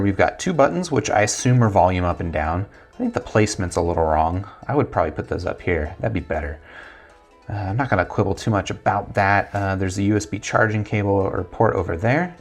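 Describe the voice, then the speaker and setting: Low-pitched American man reviewing gadgets. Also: brisk, faint music behind. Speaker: tech product reviewer. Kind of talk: casual unboxing review talk to camera. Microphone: lapel mic.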